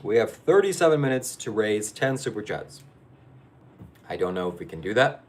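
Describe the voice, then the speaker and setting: Guy hosting his livestream, kinda low voice, lots of uh and restarts, faint hum behind. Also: sing-song, moderate pace. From Ukraine. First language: Ukrainian. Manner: rambling, hesitant, with many fillers and restarts. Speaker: livestream host. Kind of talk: casual live-stream chat answering viewers.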